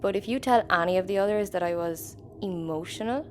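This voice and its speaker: An actress playing a tough, wry, guardedly tender spaceship crew member in an audio comedy. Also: female voice, medium pitch, fairly quick, darkish tone, natural voice. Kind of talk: sci-fi audio comedy acting. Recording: faint background noise.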